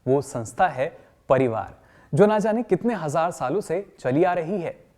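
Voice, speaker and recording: A man's voice: on the high side, lively intonation, a little slow, warm tone. A man playing a patient, encouraging trainer who lectures and puts questions to a group in a scripted training film. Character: encouraging male trainer of company directors, patient and instructive. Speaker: trainer leading a workshop.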